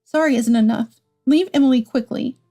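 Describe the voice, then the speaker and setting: An earnest, wounded wife and mother, acted by a woman narrator, speaks pleadingly in a story drama. The voice is fairly high and soft-toned. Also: moderate pace, soft background music. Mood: hurt, confronting. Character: adult wife and mother, hurt but protective.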